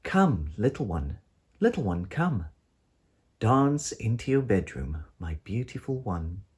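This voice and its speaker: A woman's voice: very deep voice, big pitch swings, slow, darkish tone, breathy edge, heavily acted. Woman playing a coaxing parent, lilting voice. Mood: tender, coaxing. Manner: affectionate, coaxing. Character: gentle, coaxing parent calling a child to bed. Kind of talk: children's storybook character voice.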